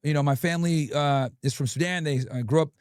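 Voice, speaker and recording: A man's voice: a little high, fast, clear, resonant tone, dry recording, studio quality. A male livestream host giving brash, profane commentary and telling stories with lots of swearing. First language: English. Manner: brash, profane, animated storytelling.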